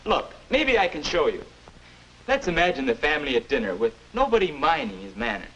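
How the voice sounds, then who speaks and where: Casting: persuasive young American mentor of twenty-one, very animated delivery, muffled 1950s educational film sound. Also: male voice, a little high, brisk, a little hiss or hum. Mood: instructive, persuasive. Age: young adult. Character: confident 21-year-old future self, patient persuasive advisor.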